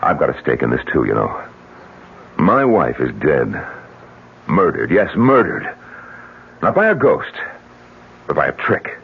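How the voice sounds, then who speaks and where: An actor playing a blunt, practical businessman with a husky voice and a forceful, combative manner in an old radio drama. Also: a bass voice, wildly swooping pitch, measured pace, pauses often, heavily acted. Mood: determined. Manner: forceful, emphatic, rhetorical. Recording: tinny, narrow old radio recording.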